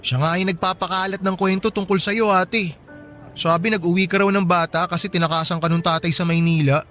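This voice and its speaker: A loyal younger brother played by an actor in a radio drama, his voice high for a young man. He is friendly, eager and earnest, with music playing behind. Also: steady tone, brisk, a bit bright. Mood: teasing.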